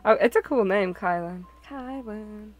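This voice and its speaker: A woman's voice: medium pitch, a little slow. A woman hosting a group game stream, chatting casually with the others. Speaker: host and narrator of a group visual novel stream.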